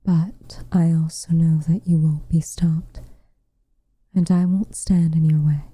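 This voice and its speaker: A woman playing a tender, protective witch with a dry teasing streak in an intimate ASMR roleplay, speaking softly and breathily, close to the mic. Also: deep voice, steady tone, no room sound, heavily acted. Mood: tender, concerned. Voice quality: soft, breathy, near-whispered. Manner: gentle, measured, quietly teasing. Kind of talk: intimate ASMR roleplay, scripted character acting. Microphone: close studio mic, dry.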